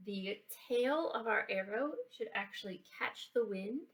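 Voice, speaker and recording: A woman giving a casual how-to science and craft demonstration for children, explaining in a halting way and checking in as she goes. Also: medium pitch, vocal fry, a bit bright, far from the mic, poor audio. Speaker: library children's program presenter. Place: indoors, in a library building.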